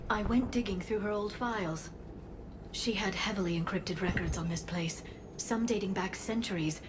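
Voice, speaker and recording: A woman's voice: mid-range voice, normal speed, natural voice. A woman voicing an earnest, worried alien scholar in a video game, with ambient noise behind her.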